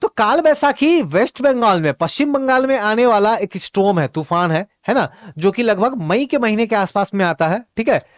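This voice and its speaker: A man whose first language is Bhojpuri, teaching exam-prep geography quiz questions in Hindi. He reads each question out slowly, then explains the answer with quick, repetitive asides. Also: high voice, lively intonation, no echo, lo-fi sound.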